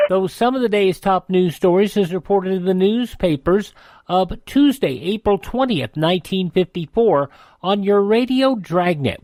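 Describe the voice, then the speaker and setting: High-pitched American male radio newsreader. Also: darkish tone, no room sound. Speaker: radio host and news reader. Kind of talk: scripted radio news narration.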